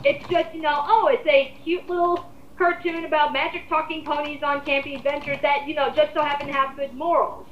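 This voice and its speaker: Woman, twenties. High-pitched, emphatic, casual opinion host. Dull, reverberant recording. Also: flat delivery, fairly quick, a little hiss or hum. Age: 20s. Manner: rambling, blunt, emphatic. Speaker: host leading a group discussion. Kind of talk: casual opinionated commentary chat.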